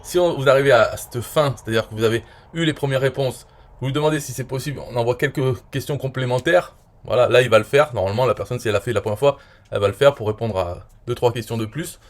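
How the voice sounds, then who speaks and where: A man from France in his forties talking casually and at length, rambling with many fillers and often trailing off quietly. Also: mid-range voice, fast talker. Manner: rambling, frequent "euh" and "voilà", trailing off quietly. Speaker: online marketer reviewing his own interview. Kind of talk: casual explanatory monologue.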